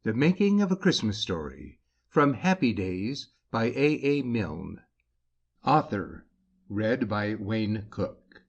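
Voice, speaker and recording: A man narrating a classic story in a measured, declamatory audiobook style. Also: on the low side, sing-song, lo-fi sound. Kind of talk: dramatic scripted audiobook narration.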